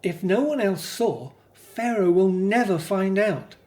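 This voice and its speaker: A man's voice: high voice, measured pace, darkish tone. A man playing a hopeful Hebrew slave and family man in a scripted radio drama, telling things earnestly. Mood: hopeful, reassuring.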